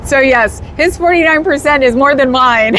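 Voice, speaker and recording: A woman's voice: sits high, normal speed, bright, forceful tone, slight background noise. A woman hosting a casual livestream, chatting with viewers in a rambling way and laughing often. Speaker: livestream host.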